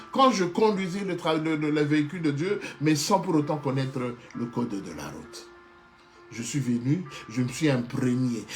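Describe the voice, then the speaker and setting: A man preaching a sermon with passion, often stopping to restart and repeat phrases and calling his listeners beloved. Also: high voice. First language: not Hebrew. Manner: keeps addressing listeners as beloved.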